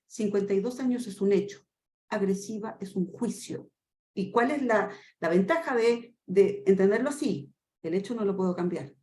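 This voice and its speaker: A Chilean woman in her fifties giving a webinar lesson in a quick, animated way, acting out short dialogues and asking rhetorical questions. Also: mid-range voice. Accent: Chilean Spanish. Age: 50s. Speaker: trainer. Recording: echoey room with faint noise.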